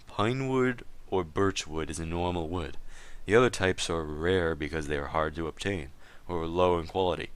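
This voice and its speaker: A stubborn town leader in an online game , read out by a man. The voice is very low and cleanly resonant. He lectures in a matter-of-fact way, with dry humor. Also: normal speed, clear, resonant tone, close to an everyday voice. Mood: stern, exasperated. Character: stern male town leader in an online-game dispute, stubborn, dryly joking. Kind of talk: dramatic reading of a gaming chat log.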